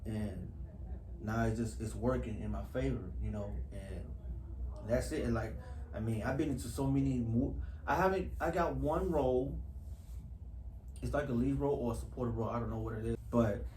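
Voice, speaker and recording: A man's voice: mid-range voice, moderate pace, mellow. A man speaking casually and earnestly in an interview, repeating phrases for emphasis. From Houston, US. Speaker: actor being interviewed. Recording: echoey room with faint background noise.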